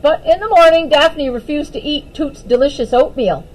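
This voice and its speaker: A woman reading a children's picture book aloud as an expressive storyteller. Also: sits high, normal speed, low-quality recording.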